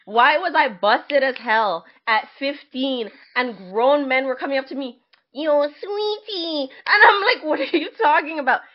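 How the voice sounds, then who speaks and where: A woman's voice: sits high, unhurried, muffled recording. A woman in her twenties co-hosting a podcast in a casual chatty style, emphatic and repeating her points for stress. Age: 20s. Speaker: podcast co-host. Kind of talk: casual conversational discussion.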